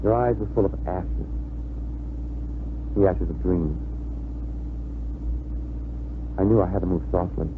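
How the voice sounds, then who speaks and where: An actor playing a suave, stubborn, teasing young fiancé in a wry, self-assured way, in 1950s radio drama style. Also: male voice, deep voice, normal speed, lightly acted. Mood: tender, assured. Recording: tinny, noisy old radio broadcast.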